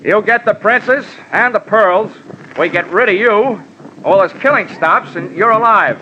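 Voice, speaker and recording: An actor playing a wry, slangy, hardboiled insurance investigator who also narrates an old-time radio drama. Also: male voice, high-pitched, moderate pace, bright, forceful tone, a little hiss or hum. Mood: firm bargaining. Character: wry, tough American insurance investigator hero. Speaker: lead and narrator. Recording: muffled old radio recording.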